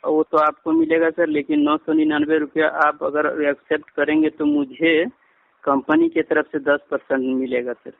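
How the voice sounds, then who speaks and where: A man's voice: a little high, level tone, normal speed, vocal fry, no echo. A man on a recorded phone call, talking as a customer care agent in an insistent, repetitive, persuasive way and politely addressing the listener as sir. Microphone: phone line.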